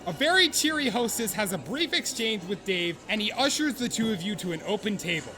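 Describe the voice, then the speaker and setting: A man playing an earnest, excitable narrator of a comic fan drama, theatrical and mock-solemn in his delivery. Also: sits high, a little fast, heavily acted. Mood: bemused. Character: earnest, excitable male narrator of an awkward would-be friend. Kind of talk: dramatic second-person scripted narration with comic profanity. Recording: music under the voice. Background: background music.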